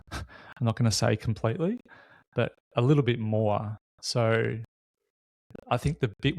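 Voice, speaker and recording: A man's voice: on the low side, a little mellow, no room sound. A man hosts a reflective, unscripted conversation, speaking candidly and casually in short, considered bursts. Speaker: podcast host.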